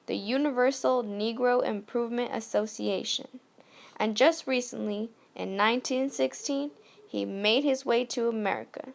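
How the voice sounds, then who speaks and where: Woman playing a creaky-voiced 1920s radio news anchor. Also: on the high side. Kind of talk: scripted amateur radio news role-play.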